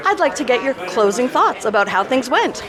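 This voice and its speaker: A woman's voice: high voice, big pitch swings, brisk, crowd noise. A woman podcast reporter doing conference interviews and reporting.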